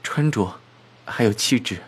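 A man's voice: lowish voice, animated, unhurried, slight background noise. Meek young slum clerk played by a man. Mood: gentle, matter-of-fact. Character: meek, poor young male supermarket clerk from the slums. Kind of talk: radio drama acting.

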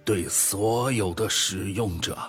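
Radio drama role: penitent male founder played by a man, deep, weighty, unhurried, confessing gravely. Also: soft background music. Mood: solemn confession. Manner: grave, solemn, deliberate, sighing. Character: remorseful male tech founder confessing a fraud. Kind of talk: dramatic radio play monologue.

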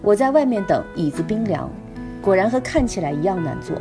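A woman's voice: medium pitch, big pitch swings, brisk, pitched below the performer's own voice. Subdued, tense story narrator read by a woman. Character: stiff, nervous first-person narrator waiting outside. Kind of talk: scripted narration. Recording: music clearly behind the voice. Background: music.

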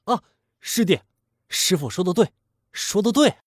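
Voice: medium pitch, animated, breathy edge, no room sound, clean studio sound. An actor playing a young senior apprentice in an audio drama, stammering and eager to please. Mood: hesitant, obliging. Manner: stammering, drawn-out, obliging. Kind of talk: Chinese audio drama acting.

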